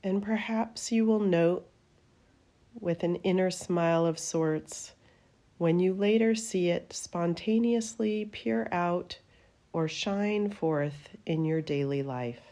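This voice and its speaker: A woman teaching a guided meditation in a gentle, soothing manner, her phrases trailing off softly at the ends. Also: on the low side, takes it slowly. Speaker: yoga nidra meditation teacher.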